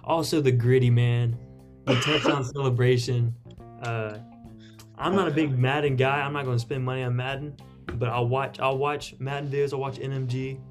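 A man's voice: mid-range voice, steady tone, moderate pace, soft background music. A man on a sports podcast panel, casually arguing his picks and analysis.

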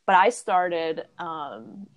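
A woman in her thirties from China, a guest English teacher, talking in an unhurried, thoughtful way with frequent fillers. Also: on the low side, expressive, a little hiss or hum. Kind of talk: casual interview chat.

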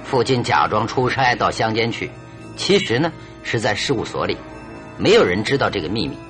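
An actor playing a shrewd, confident detective in a radio drama, speaking deliberately and with command. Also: male voice, lowish voice, sing-song. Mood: commanding, explaining. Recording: radio drama with music underneath.

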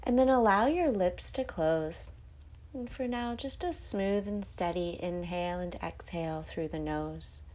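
A woman hosting a guided sleep meditation, giving soothing instructions with breathy phrases that often trail off toward a whisper. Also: lowish voice, a little slow, darkish tone.

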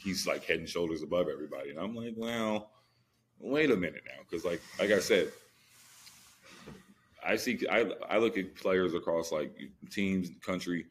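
A man's voice: deep voice, moderate pace, slight background noise. A man co-hosting a sports podcast, chatting casually and slangily about football, repeating phrases for emphasis.

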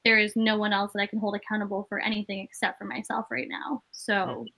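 A woman's voice: medium pitch, flat delivery, a little fast, gravelly, bright, forceful tone. A young woman from Maine, an actress and comedian, chatting casually as an interview guest with many "like" fillers.

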